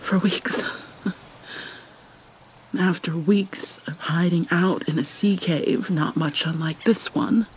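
Breathy, slow-spoken mournful mermaid princess, acted by a woman. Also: fairly low pitch, fairly even intonation, a little hiss or hum, rough recording. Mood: anguished, haunted. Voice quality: soft, breathy, often near a whisper. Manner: halting, theatrical, mournful. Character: anguished vampire mermaid princess, proud, haunted by guilt. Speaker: roleplay voice actor. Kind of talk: dramatic ASMR roleplay monologue.

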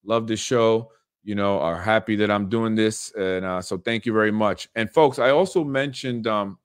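A man's voice: fairly low pitch, normal speed, clean tone. Earnest man hosting a political livestream. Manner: earnest, many fillers and repeated phrases. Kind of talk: casual livestream commentary. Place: small home room with bookshelf.

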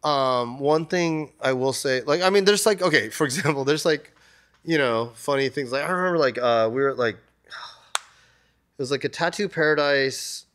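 Relaxed rambling man in a tattoo shop. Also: a little high, moderate pace. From United States. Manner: relaxed, rambling, many "like" and "you know" fillers. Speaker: tattoo artist, podcast guest. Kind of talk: casual interview talk. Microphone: desk mic on stand.

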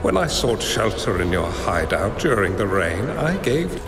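An actor playing a wise old hermit master in a game cutscene, speaking in a measured, grave way. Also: male voice, fairly low pitch, very animated. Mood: solemn. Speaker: mentor character. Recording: roomy reverb under game music.

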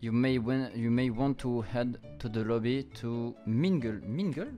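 Male, young adult, mid-pitched game streamer; informal, slangy commentary with English words mixed in, light music underneath. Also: unhurried, dark tone. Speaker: streamer playing a visual novel. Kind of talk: casual gameplay commentary with on-the-fly translation.

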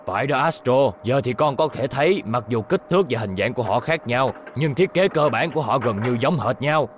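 A man's voice: mid-range voice, lively intonation, brisk, slight background noise, lightly acted. A man dubbing an elderly, authoritative and exasperated professor in a cartoon, speaking emphatically. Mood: thoughtful, explaining. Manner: stern, emphatic, explanatory.

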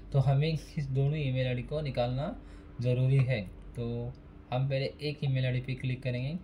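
A man's voice: medium pitch, mellow, a little hiss or hum. A man giving a casual step-by-step phone repair tutorial, speaking plainly and repeating each step while working at a desk. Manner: plain, repetitive, instructional.

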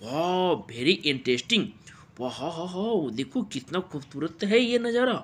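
A man's voice: a little high, expressive, slow, husky. An Indian man improvising play-by-play narration of toy play for children in an exclamatory way, with frequent wows and interjections. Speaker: presenter of toy play videos.

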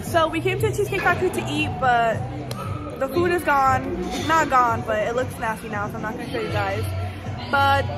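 A young woman with a high voice vlogs into her phone at arm's length. She chats upbeat and loose, full of fillers. Loud music and a busy crowd fill the indoor mall behind her. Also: moderate pace. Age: young adult. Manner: chatty, upbeat, frequent fillers. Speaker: vlogger. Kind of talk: casual vlog to camera. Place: large indoor mall with amusement park. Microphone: phone held at arm's length.

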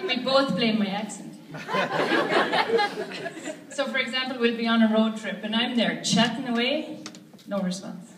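A woman's voice: a little high, a little slow, heavy background noise. Irish female stand-up, young, dry punchlines; distant live-club recording, roomy, heavy crowd laughter. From Ireland. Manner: wry, deadpan storytelling with punchlines. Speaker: stand-up comedian. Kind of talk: stand-up comedy set. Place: comedy club stage before a live audience. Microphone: handheld mic, filmed from the audience. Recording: echoey club, from far off, with loud crowd laughter.

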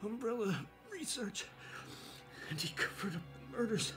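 Dying reporter, strained and high. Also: male voice, lively intonation, music in the background. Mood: desperate, weakening. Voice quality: weak, strained, rasping whisper. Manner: halting, laboured, broken phrases. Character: dying male reporter gasping out his last secrets. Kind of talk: dramatised audiobook acting.

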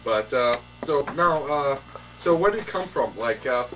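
A man's voice: mid-range voice, expressive, measured pace, over music. A young man hosting a mock late-night talk show, mock-formal and hesitant, full of ums and restarts. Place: small indoor set, couch and table. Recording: distant camera audio with hum.

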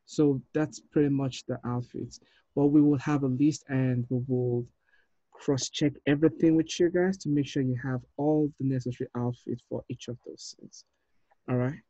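A man in his thirties leading an informal meeting as a film director, giving halting instructions over a video call. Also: medium pitch, steady tone, warm tone, no echo. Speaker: short film director leading a table read. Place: indoor room, on a video call. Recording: dull, muffled video call audio.

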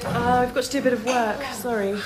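An actress playing a composed, well-read production researcher in a naturalistic radio drama, matter-of-fact and obliging. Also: female voice, fairly high pitch, fairly even intonation, quick pace, music in the background, lightly acted. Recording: ambient noise on location.

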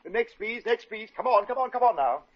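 An actor playing a fawning, flowery dress salon manager who stammers, in a 1950s radio comedy. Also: male voice, sits high, expressive, fairly quick, dry recording. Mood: flustered, eager. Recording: muffled vintage radio broadcast.

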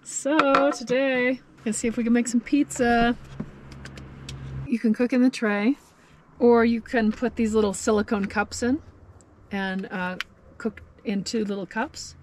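A woman's voice: a little high, fairly even intonation, unhurried, a little hiss or hum. A woman in her thirties vlogging from inside a parked minivan camper, cheerfully thinking aloud as she cooks. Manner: chatty and cheerful, thinking aloud while cooking. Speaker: van camping vlogger. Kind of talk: casual cooking vlog. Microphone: camera mounted in the van.

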